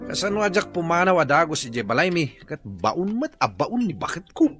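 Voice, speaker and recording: A man's voice: on the high side, big pitch swings, moderate pace, fairly bright tone, soft background music. A man acting a tipsy, maudlin village husband rambling over drinks in an Ilocano radio comedy-drama, with an Ilocano accent. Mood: carefree. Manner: drunken, rambling.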